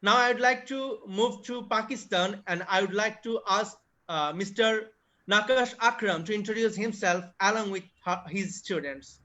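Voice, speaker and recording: A man's voice: high-pitched, normal speed, sharp tone. A man hosting an online session, politely inviting guests to introduce themselves over a video call. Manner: formal, polite. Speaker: host. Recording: muffled video call audio.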